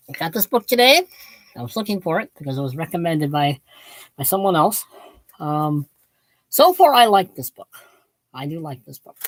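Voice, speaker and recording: A woman's voice: on the low side, no room sound, low-quality recording. A woman in her late fifties giving a casual, opinionated book talk to viewers, drifting off on tangents in a self-deprecating way. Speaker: book vlogger.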